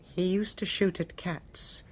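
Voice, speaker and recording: An actress playing a bitter, fatalistic wife in a radio mystery, a breathy voice and a strained, brittle delivery. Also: female voice, fairly low pitch, steady tone, takes it slowly, slight background noise. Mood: bitter, reflective. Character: bitter, fatalistic wife who has shot her husband. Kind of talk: radio mystery drama acting.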